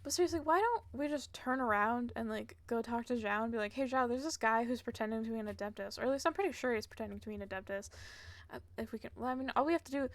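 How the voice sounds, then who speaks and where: A woman's voice: a little high, fast talker, dry recording. A woman streaming a video game with casual live commentary and playful, sarcastic asides.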